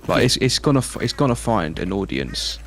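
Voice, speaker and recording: A man's voice: medium pitch, sing-song, brisk, creaky, a bit bright. A man co-hosting a casual gaming livestream, commenting in a blunt, sweary way. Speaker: game streamer co-host.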